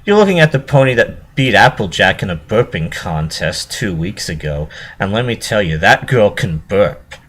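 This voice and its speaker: A man's voice: on the low side, very animated, moderate pace, hardly any pauses, a bit bright, husky, full character voice. A man voicing a brash, competitive young female pegasus pony who talks boastfully and dismissively in a story reading. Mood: proud, boastful.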